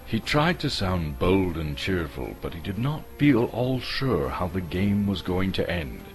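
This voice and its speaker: A man's voice: sits low, sing-song, measured pace, faint music behind. A man from Canada giving a dramatic storybook narration as the narrator.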